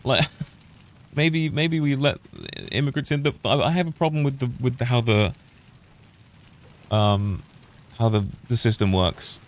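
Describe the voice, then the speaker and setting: A man's voice: medium pitch, fairly even intonation, unhurried, long pauses. A British man streaming a game, rambling opinionated commentary that keeps trailing off and restarting. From United Kingdom. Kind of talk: casual gameplay commentary.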